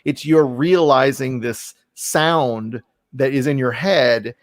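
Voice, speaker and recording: A man hosting a casual podcast interview, talking in a halting way with repeats and rephrasing. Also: medium pitch, no room sound, clean studio sound. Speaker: podcast host.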